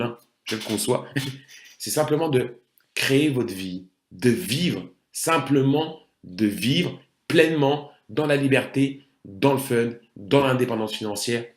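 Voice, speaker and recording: Echoey French man giving a sales pitch. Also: a bit bright. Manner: emphatic, salesy, addresses listeners with rhetorical questions. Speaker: network marketing presenter. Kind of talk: sales presentation, explaining a pay plan. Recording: roomy, echoing voice recording.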